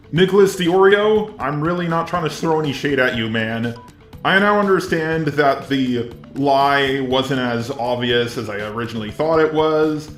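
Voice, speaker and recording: A man's voice: mid-range voice, fairly bright tone, echoey room. A man's synthetic robot voice delivering scripted commentary as the host of a video.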